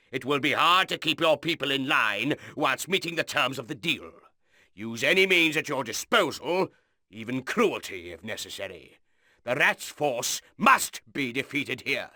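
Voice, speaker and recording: A man playing a stern noble rebel lord, giving a game mission briefing with formal, measured authority. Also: mid-range voice, sharp tone, no echo, studio quality. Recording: music under the voice.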